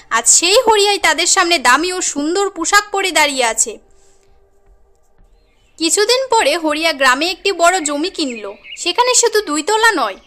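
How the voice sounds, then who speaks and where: A woman's voice: high-pitched, sharp tone. A woman narrating a moral cartoon tale in an earnest, dramatic storytelling manner.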